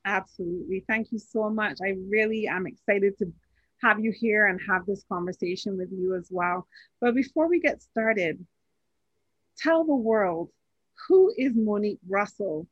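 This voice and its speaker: Husky-voiced woman hosting a podcast. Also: mid-range voice, animated, moderate pace, dry recording, lo-fi sound. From Barbados. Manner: earnest, repeats phrases for emphasis. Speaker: podcast host. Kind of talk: podcast interview.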